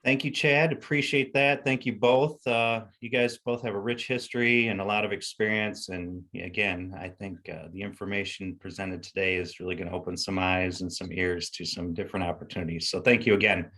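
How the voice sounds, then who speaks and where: A middle-aged man hosts a business panel over a video call. His voice sits fairly low. He sounds upbeat and chatty and fills gaps with ums and you-knows. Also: steady tone, brisk, few pauses, pushed and bright. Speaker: panel host. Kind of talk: video call webinar hosting.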